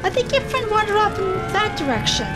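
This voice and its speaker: A woman's voice: very high-pitched, big pitch swings, fairly quick. A woman acting in an audio drama as a concerned, helpful neighbour. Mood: concerned, apologetic. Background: faint background music.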